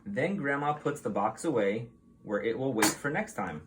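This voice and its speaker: A man reading a picture book aloud to young children like a teacher, in an expressive, explanatory way. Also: medium pitch, moderate pace, noisy background.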